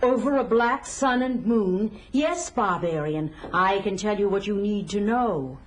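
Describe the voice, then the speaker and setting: A woman's voice: medium pitch, a little slow, lightly acted. An actress playing a seductive, menacing witch woman in an audio drama, with a theatrical, portentous delivery. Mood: sultry, mysterious. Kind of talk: 1980s audio drama acting.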